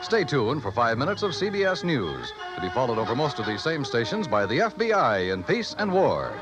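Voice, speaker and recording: A man's voice: mid-range voice, wildly swooping pitch, brisk. A man announcing a 1950s radio drama, polished and emphatic. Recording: vintage radio broadcast with loud music.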